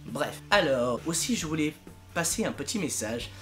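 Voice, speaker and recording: A young man talks informally to the members of his project over background music. His delivery is very lively and emphatic, exaggerated for comic effect, with an exasperated edge. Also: on the high side, normal speed. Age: young adult. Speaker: fandub project leader and video maker. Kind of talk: casual informal vlog announcement.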